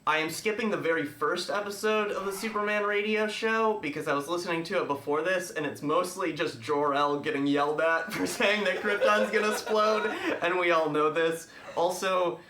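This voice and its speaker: A man's voice: high-pitched, moderate pace, few pauses, sharp tone. A man hosting a livestream in casual chat, with a wry, enthusiastic manner. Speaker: stream host. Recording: echoey room.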